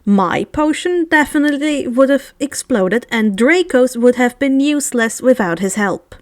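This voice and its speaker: A woman's voice: sits high, expressive, normal speed, dry recording. A woman voicing a good-natured teenage schoolboy hero in a storybook, speaking in an earnest, casual way. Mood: teasing.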